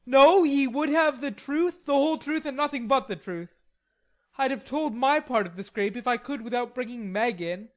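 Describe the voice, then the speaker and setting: A woman's voice: sits high, expressive, moderate pace, pitched above the performer's own voice. A woman voicing a wilful, hot-tempered teenage boy in an audiobook, protesting emphatically. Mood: indignant. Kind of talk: audiobook character reading.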